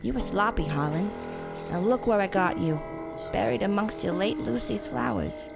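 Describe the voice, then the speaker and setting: A cold young female killer played by an actress speaks in a mid-pitched, deliberate voice over clear music. She is defiant and self-righteous, with dramatic audio drama delivery. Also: expressive. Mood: defiant, proud.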